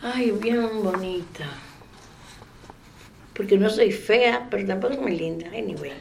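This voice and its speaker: Older Dominican woman in her eighties, gravelly and unhurried, soft-spoken interviewee, faint room hiss. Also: mid-range voice. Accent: Dominican Spanish. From Dominican Republic. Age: 80s. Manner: soft-spoken, trailing off into quiet. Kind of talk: oral history interview.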